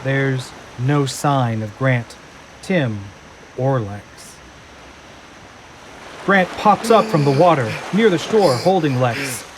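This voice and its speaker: A man narrating a scripted audio drama in a measured, descriptive manner. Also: medium pitch, lively intonation, a crowd in the background, poor audio.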